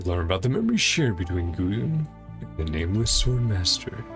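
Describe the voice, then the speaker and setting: A man's voice: low voice, sing-song, normal speed. A man casually commenting as he plays a video game, often reading along in musing fragments. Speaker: streamer playing a video game. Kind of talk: casual gameplay commentary, reading subtitles aloud. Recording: game music behind the voice. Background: game music.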